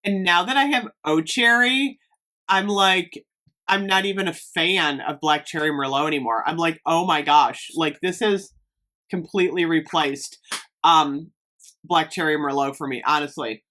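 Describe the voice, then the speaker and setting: Chatty slightly hoarse woman vlogger. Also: low voice, a little slow, sharp tone. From Northeast Ohio. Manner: chatty, lots of "like" and "um" fillers. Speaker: beauty and fragrance vlogger. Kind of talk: casual chat to camera.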